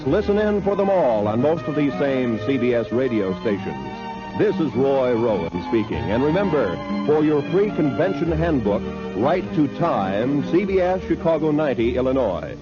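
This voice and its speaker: A man's voice: medium pitch, sing-song, a little slow. An American man reading scripted credits and promos as a 1950s network radio announcer. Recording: muffled 1950s radio broadcast, music behind.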